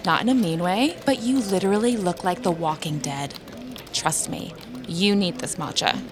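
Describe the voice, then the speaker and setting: A woman's voice: lowish voice, normal speed, background noise, lightly acted. An actress playing a breezy, gossipy, trend-obsessed young woman coworker in a comedic audio drama, with gushing, exaggerated slangy delivery. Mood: perky, patronizing. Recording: music bed under the voice.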